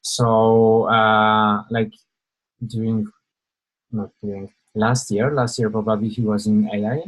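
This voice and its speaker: A man's voice: on the low side, flat delivery, takes it slowly, rough recording. A young man from Poland, giving an informal webinar presentation over a video call, hesitant with many fillers and restarts.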